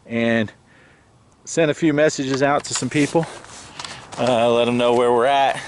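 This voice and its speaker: An American man in middle age talks in a casual, chatty vlog style, explaining as he goes. His pitch is average for a man. The camera audio carries clear background noise from outdoors or the car. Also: steady tone, a little slow. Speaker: vlogger. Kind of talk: casual geocaching vlog narration. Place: car interior and open roadside outdoors. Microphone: handheld camera and dash cam.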